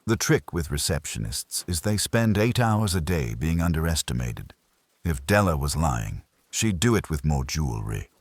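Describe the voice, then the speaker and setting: A man narrating a hardboiled detective story in a dry, clipped, deadpan way. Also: very deep voice, normal speed, husky, no echo.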